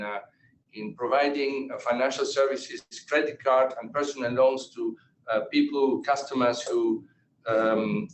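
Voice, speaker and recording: A man answering as an executive on an earnings call, haltingly, repeating and restarting his words often. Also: mid-range voice. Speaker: company executive answering analysts. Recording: roomy echo, background noise, conference call.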